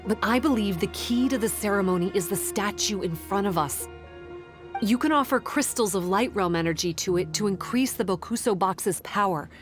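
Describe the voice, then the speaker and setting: A woman playing a calm, analytical guide in a video game, speaking in a measured, formal way. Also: mid-range voice, music in the background, close to an everyday voice. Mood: calm, helpful. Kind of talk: scripted game cutscene dialogue.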